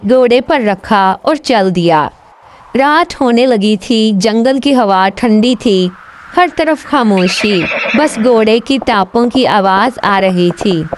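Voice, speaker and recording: Slow, high-voiced woman storyteller. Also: slight background noise. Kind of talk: scripted children's story narration.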